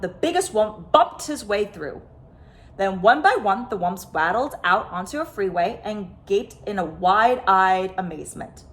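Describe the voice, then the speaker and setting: A woman reading a children's picture book aloud as a storyteller, with dramatic, expressive phrasing. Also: mid-range voice. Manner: dramatic, stressed sound words and phrases. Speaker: storyteller, host of a story time.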